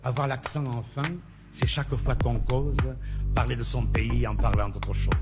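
A man with a gravelly voice recites lyrical lines, then sings, in a song recording. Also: medium pitch, moderate pace. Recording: song with music behind. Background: music.

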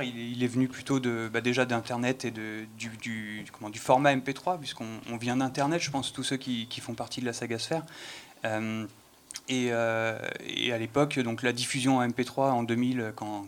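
Stop-start male guest, medium pitch. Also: slight background noise. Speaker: panel guest, audio fiction maker. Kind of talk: casual explanatory discussion.

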